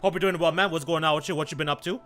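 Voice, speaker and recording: A man with a high, bright, forceful voice hosts a casual live game stream. His talk is slangy and repetitive, hopping between greeting viewers and commenting on the game. Light music plays along. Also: steady tone, racing. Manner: slangy, repeats himself, greets viewers mid-thought.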